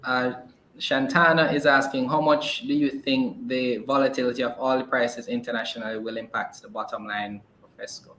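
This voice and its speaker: A man's voice: mid-range voice, fairly even intonation, normal speed, pushed and bright. A man, hosting an investor call and reading out questions from the audience. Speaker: host. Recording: echoey room, faint background noise.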